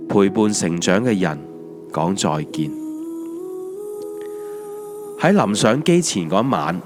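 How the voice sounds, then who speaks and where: A man with a Hong Kong accent, narrating a scripted autobiographical audio drama in a reflective, wry storytelling manner. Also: fairly low pitch. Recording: music under the voice. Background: background music.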